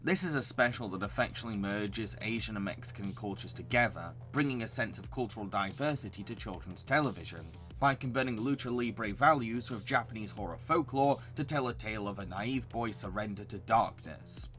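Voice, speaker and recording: A man's voice: fairly low pitch, brisk, hardly any pauses, fairly bright tone, faint music behind. A man reading scripted narration as a reviewer presenting cartoons. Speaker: video essayist reviewing cartoons.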